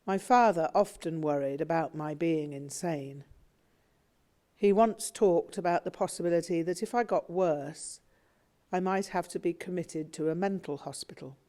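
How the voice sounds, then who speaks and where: A woman narrating a memoir audiobook in a measured, reflective way. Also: fairly low pitch, dark tone.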